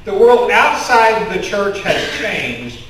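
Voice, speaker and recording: A middle-aged man with a Kentucky accent preaches a relaxed, story-driven sermon at an unhurried pace. His voice sits a little high. The sound is caught from a distance in a very echoey sanctuary. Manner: conversational storytelling preacher, gestures with open hands. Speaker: pastor. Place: church sanctuary in front of a curtain. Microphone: stand mic with headset mic, filmed from the congregation.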